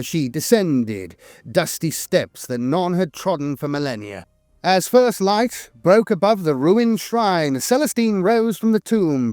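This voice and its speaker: Higher-pitched man narrating, solemn and dramatic. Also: a little mellow, no echo, studio quality. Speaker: narrator of a lore channel. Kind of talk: scripted dramatic lore narration.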